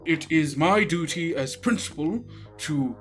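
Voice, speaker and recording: A man's voice: a little high, animated, unhurried, faint music behind. Man playing a pompous, menacing school principal. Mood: coolly formal. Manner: pompous, officious. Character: formal, menacing school principal of a strange academy.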